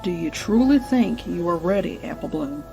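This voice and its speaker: Woman acting as stern cartoon pony mare, solemn big sister, weighty deliberate delivery, music behind. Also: fairly low pitch, lively intonation, creaky, mellow. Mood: solemn urging. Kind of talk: dramatic fan fiction audio reading.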